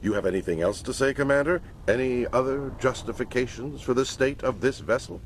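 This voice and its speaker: A man's voice: medium pitch, fairly quick, slight background noise, close to an everyday voice. A man playing a stern, skeptical senior military officer in a video game, speaking in a formal, authoritative, clipped way. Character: stern, skeptical older male military admiral inspecting a warship. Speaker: military commander.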